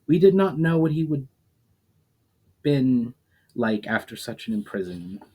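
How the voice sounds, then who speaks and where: A man's voice: medium pitch, sing-song, measured pace, dark tone, husky. A man voicing a hesitant, guilt-laden ancient elemental in a storybook reading.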